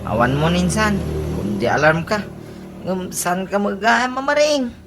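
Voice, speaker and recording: A woman's voice: medium pitch, lively intonation, a little slow. A woman with an Ilocano accent playing an exasperated, complaining cousin in a comedy radio drama. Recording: clear background noise.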